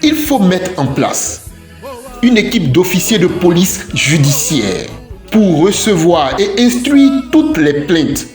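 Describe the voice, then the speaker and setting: A man reads out an opinion piece at a slow, deliberate pace. His delivery is emphatic and declarative, built on repeated phrases. The voice sounds roomy and echoing, with music behind it. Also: high voice, very animated. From Côte d'Ivoire. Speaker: civic commentator. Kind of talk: scripted opinion commentary.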